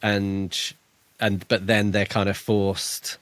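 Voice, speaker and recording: A man's voice: lowish voice, little pitch movement, slow pace, a bit bright, no room sound. A man co-hosting a podcast, casually discussing a book and thinking aloud in a tentative, hedging way. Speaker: podcast co-host.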